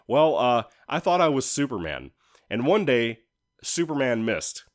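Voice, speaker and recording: A man's voice: medium pitch, big pitch swings, a little slow. A man in his thirties giving a casual, rambling solo review, informal and enthusiastic, with some mild swearing. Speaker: video reviewer.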